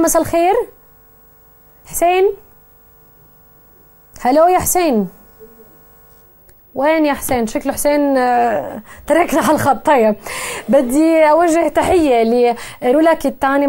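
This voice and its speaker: A Jordanian woman in her thirties hosts a live television call-in show from a studio desk, with a lapel mic. Her voice sits high and she talks fast. She moves briskly from caller to caller in a friendly way. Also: darkish tone. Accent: Jordanian Arabic. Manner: brisk, friendly, gestures while talking.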